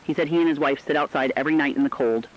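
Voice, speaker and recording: A man playing a somber, reflective radio host, relaying survivors' messages in a plain, solemn, deliberate monologue. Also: fairly high pitch, lo-fi sound, natural voice. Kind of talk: scripted intimate radio monologue.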